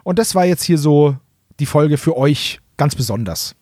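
Male podcast co-host, slightly high voice, dry recording, ironic and playful, chatty delivery. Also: lively intonation, moderate pace, mellow, no room sound. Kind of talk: casual chat.

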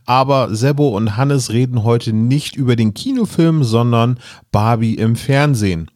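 A man's voice: medium pitch, a little slow, a little mellow, no room sound, clean studio sound. A man giving a casual podcast introduction and giveaway announcement.

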